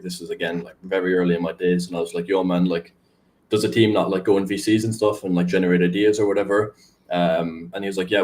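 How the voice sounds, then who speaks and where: Young male guest, deep monotone, loose filler-heavy chat. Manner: rambling, filler-heavy, laid-back. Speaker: guest, crypto community manager. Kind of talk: casual podcast chat.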